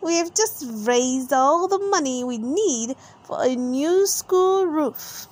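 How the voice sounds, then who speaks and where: Casting: keen storybook gazelle teacher woman performer, high and slow, announcing to all. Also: animated. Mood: delighted. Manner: announcing, encouraging. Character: Madame Gazelle, keen female gazelle schoolteacher announcing. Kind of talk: children's storybook read-aloud.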